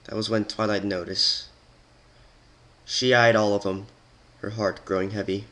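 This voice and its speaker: A man's voice: fairly low pitch, little pitch movement, measured pace, fairly bright tone. A man giving a dramatic, scripted reading of a story as its narrator.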